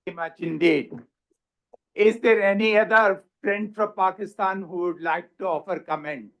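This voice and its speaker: A man's voice: high voice, normal speed, fairly bright tone. Courteous man moderating a panel. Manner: courteous, formal. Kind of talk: chairing a panel.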